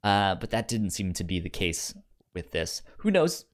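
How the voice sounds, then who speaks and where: A man's voice: low voice, sing-song, normal speed. A man co-hosting a casual book discussion podcast, speaking hesitantly and often stammering over his words. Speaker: podcast co-host.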